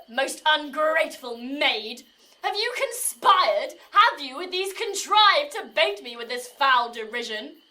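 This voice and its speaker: Very high-pitched, aggrieved young maiden. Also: female voice, sharp tone, full character voice. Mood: betrayed, reproachful. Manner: theatrical, aggrieved, declamatory. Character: young spurned maiden, indignant, wounded, self-pitying. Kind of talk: Shakespearean verse audio drama.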